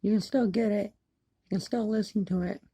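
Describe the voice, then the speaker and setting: A woman chatting casually to viewers as a hobbyist video maker, in a halting, repetitive, plain-spoken way. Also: medium pitch, fairly even intonation, warm tone, husky, no room sound, rough recording. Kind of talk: casual chat to camera. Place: indoors in an apartment, by a window.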